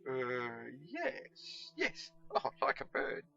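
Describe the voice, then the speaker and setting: A man's voice: medium pitch, huge pitch swings, drawn-out words, vocal fry, bright, forceful tone, highs cut off, faint music behind, exaggerated voice. A man voicing a nervous, flustered little man who talks in a hesitant, fussy, repetitive way.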